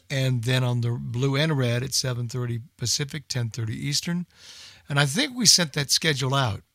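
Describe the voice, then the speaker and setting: A man's voice: mid-range voice, fairly bright tone, no echo, studio quality. A man hosting a radio show, giving casual, rambling unscripted announcements in an informal way.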